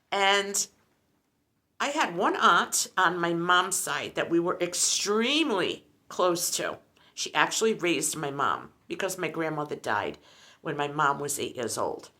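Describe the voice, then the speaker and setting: Woman in her sixties for a vlog, chatty and rambling, bright animated delivery. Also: lowish voice. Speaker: lifestyle vlogger. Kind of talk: casual chat.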